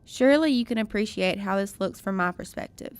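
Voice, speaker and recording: A woman's voice: mid-range voice, brisk, smooth, close to an everyday voice. A woman playing a proud, stern mother in a radio drama, speaking in a formal, clipped and haughty way. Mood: stern, dismissive.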